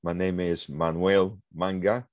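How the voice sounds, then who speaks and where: Man from Colombia, deep low voice, dry close recording, earnest, many fillers. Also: flat delivery, a little slow, darkish tone, dry recording. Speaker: guest, social psychologist. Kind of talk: self-introduction in a talk.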